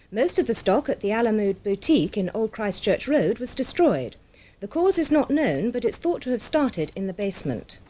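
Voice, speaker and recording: A woman reads a scripted regional television news bulletin in a formal, measured manner. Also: on the high side, mellow. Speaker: television newsreader.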